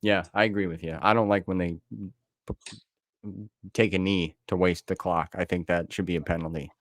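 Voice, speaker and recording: A man's voice: low-pitched, fairly even intonation, normal speed, no room sound, clean studio sound. A middle-aged American man hosting a podcast, chatting casually in a folksy, plain-spoken way. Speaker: podcast host. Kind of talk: casual chat.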